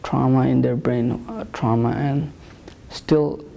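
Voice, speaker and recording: A young man from Nepal, interviewed in a small plain room on a lapel mic, speaking in a measured way with frequent pauses. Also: mid-range voice, steady tone, creaky, warm tone, low-quality recording. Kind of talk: personal account.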